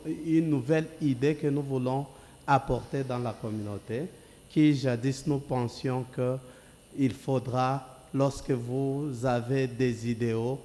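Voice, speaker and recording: A man who chairs an NGO answers as a guest on a TV studio set in a measured, formal way. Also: mid-range voice, mellow, long reverb tail. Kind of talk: TV studio interview.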